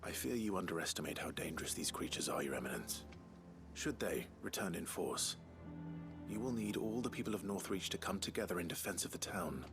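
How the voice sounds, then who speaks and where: An actor playing a grave, courteous adventurer in a fantasy game, measured and understated in manner. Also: male voice, low voice, lively intonation, creaky, music in the background. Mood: grave caution. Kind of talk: scripted fantasy game acting.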